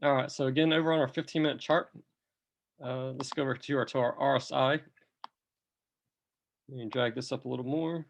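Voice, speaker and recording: A man who is a bit under the weather, with a cough, walking through price charts in a relaxed, step-by-step commentary. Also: medium pitch, brisk, gravelly, long pauses, a little hiss or hum. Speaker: crypto chart analyst. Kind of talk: screen-recorded chart walkthrough.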